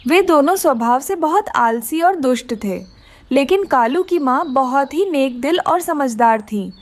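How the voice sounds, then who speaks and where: High-pitched woman storyteller. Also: lively intonation, measured pace, dry recording. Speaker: narrator. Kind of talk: scripted children's story narration.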